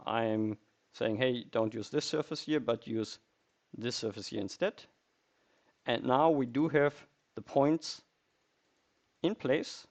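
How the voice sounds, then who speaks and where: A man narrating a software tutorial step by step in a methodical, explanatory way. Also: mid-range voice.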